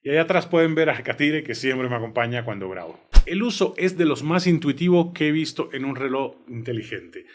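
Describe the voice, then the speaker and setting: A man's voice: medium pitch, animated, fairly quick, few pauses, slight background noise. A man with a Cuban accent giving a conversational, enthusiastic product review from his home studio. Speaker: tech reviewer.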